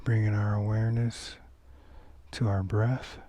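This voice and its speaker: Slow, low-voiced man guiding meditation. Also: no echo. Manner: gentle, calm, unhurried instruction. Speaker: meditation teacher. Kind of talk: guided meditation. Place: outdoors.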